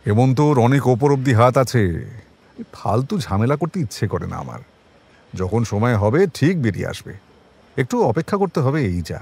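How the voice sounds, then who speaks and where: A male actor playing a shrewd, world-weary senior detective in a crime audio drama, with a measured, wry, colloquial delivery. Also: mid-range voice, big pitch swings, vocal fry, a little mellow, husky, full character voice.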